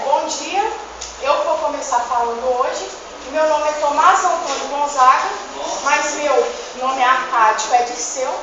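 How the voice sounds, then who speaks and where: A woman's voice: high-pitched, natural voice. A young woman student playing a vain, joking dead poet from the eighteenth century in a school presentation, talking in a theatrical, chiding way to the class. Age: young adult. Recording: echoey room from far off, dull, noisy.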